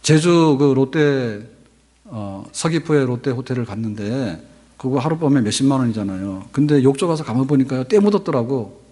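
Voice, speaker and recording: A man's voice: mid-range voice, expressive, normal speed, warm tone, husky. A man in his fifties preaching a sermon from a church pulpit microphone, talking conversationally and asking the congregation rhetorical questions. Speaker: pastor. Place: large church sanctuary, at a pulpit. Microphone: pulpit microphone on stand. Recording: long hall reverb.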